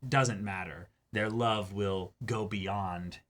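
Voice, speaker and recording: A man co-hosting a reaction show, talking through his thoughts in casual commentary with emphatic, drawn-out phrasing and repeats. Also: on the low side, steady tone, a bit bright. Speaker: co-host of an anime reaction show.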